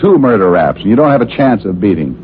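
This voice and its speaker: An actor playing a sardonic, wisecracking hard-boiled private detective who narrates and talks in an old-time radio drama. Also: male voice, fairly low pitch, big pitch swings, quick pace, a little hiss or hum. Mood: dry, probing. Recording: tinny old radio recording with music.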